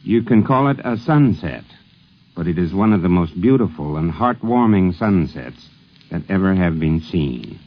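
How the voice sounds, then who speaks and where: A man, middle-aged, narrating a scripted 1950s radio tribute in a formal, measured, literary manner. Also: sits low. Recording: dull, muffled 1950s radio recording.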